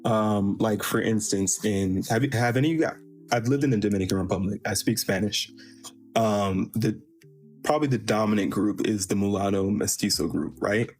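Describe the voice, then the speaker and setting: Low-voiced man, hesitant, faint music. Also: normal speed. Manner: hesitant, repeats words when challenged. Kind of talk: casual debate.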